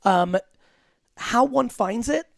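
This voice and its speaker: A man as a guest on an interview podcast, talking about business in a blunt, emphatic, rapid-fire way with some profanity. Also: very high-pitched, expressive, vocal fry, dry recording, clean studio sound. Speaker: entrepreneur guest.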